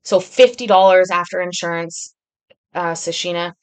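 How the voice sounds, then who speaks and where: Chatty woman host, slightly low voice. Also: moderate pace, clear, resonant tone. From Britain. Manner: rambling and chatty, many ums and restarts. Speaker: livestream host reading chat comments. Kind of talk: casual live chat.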